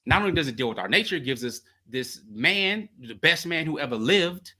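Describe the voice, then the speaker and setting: A man hosting a call-in advice show as a coach, talking in an animated, slangy storytelling way. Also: fairly high pitch, brisk.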